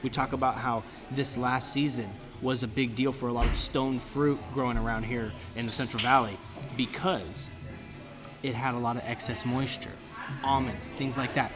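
A man's voice: medium pitch, steady tone, normal speed, over music. A man in his twenties from California, hosting a podcast in a laid-back, slangy way. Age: 20s. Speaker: podcast host. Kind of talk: casual chat. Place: bar.

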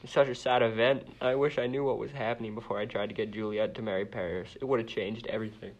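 A man playing a sullen, bereaved father who is quick to snap and mixes slang with archaic insults. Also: on the low side, fairly even intonation, muffled recording, close to an everyday voice. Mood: glum, resigned.

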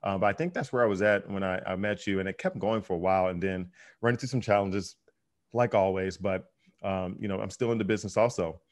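A young American man hosting a podcast, chatting loosely with plenty of ums and self-corrections. His voice sits low and the words come quickly. He speaks in a relaxed, friendly interview register. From United States. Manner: easygoing, many fillers and restarts. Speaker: podcast host. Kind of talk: casual interview chat.

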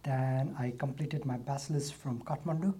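A man from Nepal, a university lecturer answering interview questions in a soft-spoken, hesitant way with restarts. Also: mid-range voice, moderate pace, breathy edge.

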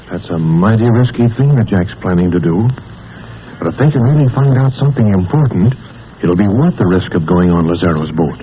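A man announcing an old radio serial and reading its ad copy in a folksy, hearty salesman manner. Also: medium pitch. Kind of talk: scripted radio announcing and ad read. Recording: tinny old radio broadcast with hiss.

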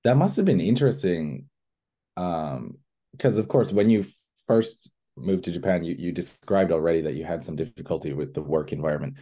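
A young man hosting a casual interview chat, relaxed and thoughtful, sharing his own stories. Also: low voice, moderate pace, slightly rough. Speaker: podcast host.

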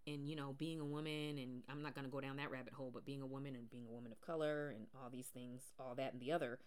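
A woman from the US Pacific Northwest with a deep voice, talking casually and rambling with fillers on her solo podcast. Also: fairly even intonation, fast, smooth. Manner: rambling, many fillers and restarts. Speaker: podcast host.

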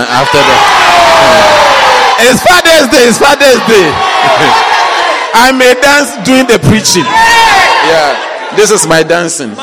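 A man preaching an animated sermon, quizzing the congregation and breaking into shouts and laughter. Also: high voice, heavy background noise. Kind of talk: lively church sermon. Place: church. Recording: reverberant church hall with congregation.

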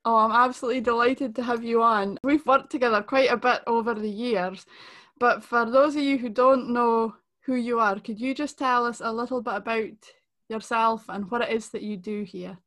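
Podcast host, woman from shetland, upbeat and welcoming, a bit high-voiced. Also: steady tone, moderate pace, fairly bright tone. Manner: upbeat and welcoming, laughs often. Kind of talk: friendly podcast interview.

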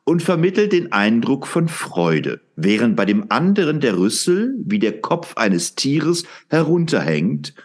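A man's voice: mid-range voice, very animated, a little slow, hardly any pauses. A man narrating an audio drama from a script, in a storyteller's descriptive delivery.

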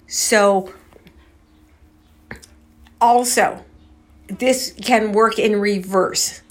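A woman talks to her viewers as a storytelling host. Her delivery is slow and unhurried, with a mid-range pitch. She sounds casual and conversational, musing as she goes. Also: steady tone. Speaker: host of a paranormal storytelling channel.

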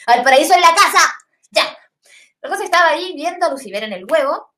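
A woman's voice: high voice, lively intonation, brisk, pushed and bright. A woman in her thirties from Chile, with a Chilean accent, telling a funny personal story in a rambling, slangy way with swearing. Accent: Chilean Spanish. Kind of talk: casual comic storytelling.

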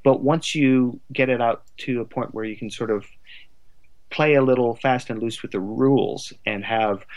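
A man's voice: medium pitch, normal speed, darkish tone. A man speaking as an interview guest in a reflective, discursive and articulate conversational way. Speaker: podcast guest, writer.

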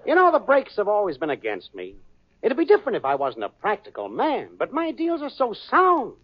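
An actor playing a cocky, smooth-talking young schemer in a 1940s radio sitcom, breezy and full of slang. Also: male voice, high voice, wildly swooping pitch, brisk, heavily acted. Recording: lo-fi old radio broadcast with audience laughter and hiss.

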